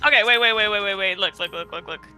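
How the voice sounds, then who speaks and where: Very high, bright-voiced man chatting. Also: fast talker. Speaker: game stream co-player. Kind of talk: casual chat during gaming. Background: faint game music.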